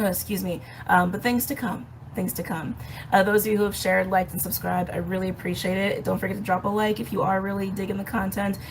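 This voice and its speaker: A woman with a hoarse, raspy voice, host of an astrology channel, explaining the planets in a chatty way with frequent ums. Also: medium pitch, slight background noise, low-quality recording. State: hoarse, recovering from a respiratory illness. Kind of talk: casual explanatory astrology talk.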